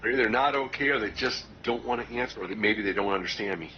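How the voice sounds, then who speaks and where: Deep voiced guy playing tabletop, talks through his moves, faint hiss. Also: fast talker, pushed and bright. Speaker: player. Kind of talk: casual tabletop play. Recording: dull lo-fi audio.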